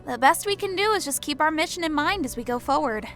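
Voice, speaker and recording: A woman's voice: sits high, expressive, fast, fairly bright tone. An actress playing a sarcastic, unbudging woman among soldiers in an audiobook. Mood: resigned. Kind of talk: audiobook character dialogue. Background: background music.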